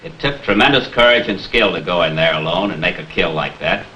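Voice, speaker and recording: A man's voice: sits low, expressive, brisk, sharp tone, highs cut off. A man hosting a 1950s television program, formally presenting and interviewing a guest. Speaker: television host. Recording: 1950s TV soundtrack with hiss.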